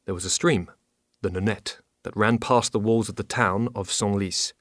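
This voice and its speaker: A man playing a loyal French duke and military commander in an audio drama, with a measured, formal delivery. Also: lowish voice, steady tone, fairly bright tone, no room sound, clean studio sound, close to an everyday voice. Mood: grave recounting.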